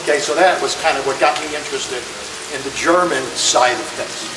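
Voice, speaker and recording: A man's voice: medium pitch, a little fast, background noise. A middle-aged man gives an informal demonstration talk to a crowd at an outdoor reenactment. He explains plainly and often says "okay" and "uh". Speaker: living history reenactor presenter. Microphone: camera far from the speaker. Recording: distant echoey outdoor recording, loud music.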